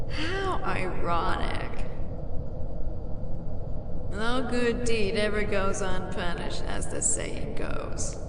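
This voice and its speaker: A woman playing a defiant, bitter captive royal who taunts a former comrade in a theatrical fantasy audio roleplay, with deliberate pauses. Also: medium pitch, huge pitch swings, background noise, exaggerated voice. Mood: taunting, bitter. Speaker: voice actor. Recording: roomy echo, loud background ambience.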